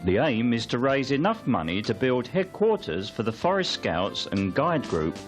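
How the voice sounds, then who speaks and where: A man's voice: medium pitch, big pitch swings, normal speed, music in the background. A man reading scripted documentary narration for a newsreel.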